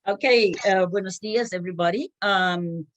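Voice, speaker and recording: A middle-aged woman from Cuba telling her own career story as a panelist, with a hesitant, pausing delivery. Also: mid-range voice. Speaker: panelist, translation business owner. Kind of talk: panel talk, personal career account.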